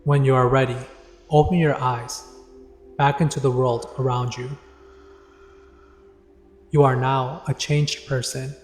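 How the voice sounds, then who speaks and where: An echoey, reverberant recording of a man guiding a meditation over music. His delivery is calm and deliberate, with little movement in pitch, leaving pauses between instructions. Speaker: meditation guide. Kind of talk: guided meditation narration. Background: background music.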